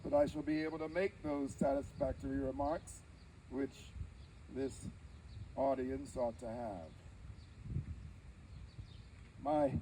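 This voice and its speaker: A man playing a frail, devout old formerly enslaved preacher in solemn, halting theatrical oratory before an audience. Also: medium pitch, distant mic, noisy background, lightly acted. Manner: solemn, formal, halting oratory with dramatic swells. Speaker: live interpreter. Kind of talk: theatrical first-person historical oration.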